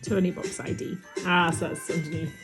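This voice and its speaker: A woman's voice: lowish voice, little pitch movement, moderate pace. A woman chatting casually at home with a partner, sometimes reading instructions aloud as she goes. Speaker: parent vlogger setting up a children's gadget. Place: indoors at home. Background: music.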